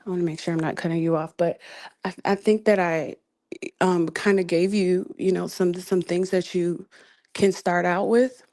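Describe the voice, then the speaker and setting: A woman with a fairly low voice, hosting a podcast and giving advice. She talks softly and reassuringly, pausing often and stretching words as she picks them. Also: fairly even intonation. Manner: soft-spoken, reassuring, with pauses and fillers. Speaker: podcast host and relationship coach. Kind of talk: calm advice-giving talk.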